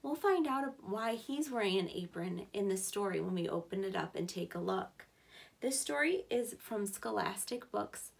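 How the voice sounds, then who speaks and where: High-pitched woman reading to young children. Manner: clear, simple, encouraging address to young children. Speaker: preschool teacher reading a picture book aloud. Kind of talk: children's story read-aloud with explanatory asides.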